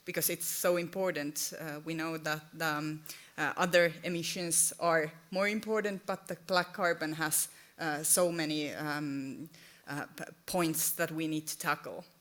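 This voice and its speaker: A woman in her thirties speaks English as a second language on a conference panel, slightly low in pitch. She talks haltingly, pausing often and correcting herself. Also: fairly even intonation, fairly bright tone. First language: not English. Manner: halting, many fillers and self-corrections. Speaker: panelist, head of government. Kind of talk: panel discussion. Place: conference stage with audience.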